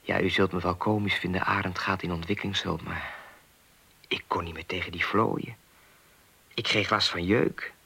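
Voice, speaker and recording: A man's voice: low-pitched, fairly even intonation, normal speed, creaky, bright, forceful tone, breathy edge, exaggerated voice. An actor playing an earnest, naive, lonely man in a naturalistic radio play, talking in a hesitant, rambling, plain-spoken way. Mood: earnest, wistful. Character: earnest, naive, lonely man worried about a missing woman.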